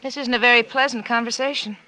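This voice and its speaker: Bright, poised career woman. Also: on the high side, fairly even intonation, fast, low-quality recording. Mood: disapproving. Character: smart, ambitious, coolly guarded career woman. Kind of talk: 1940s radio acting. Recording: old 1940s radio drama recording.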